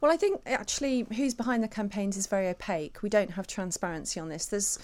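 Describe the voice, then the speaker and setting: A woman's voice: mid-range voice, quick pace, a little mellow. A woman guest commentator in a radio discussion, speaking in an articulate, emphatic and rhetorical way. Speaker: regular guest commentator, author.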